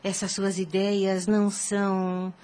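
A woman's voice: medium pitch, monotone, takes it slowly, dark tone, natural voice. An actress playing a devout, troubled woman seeking counsel in a radio drama, earnest and formally worded. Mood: weary, confiding. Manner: earnest, formal archaic phrasing.

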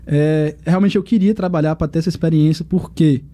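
Young Brazilian man, quick and dark-toned. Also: on the high side. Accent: Piauí Portuguese. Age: young adult. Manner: relaxed, chatty storytelling with quick asides. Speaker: guest. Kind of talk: casual podcast chat. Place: podcast studio.

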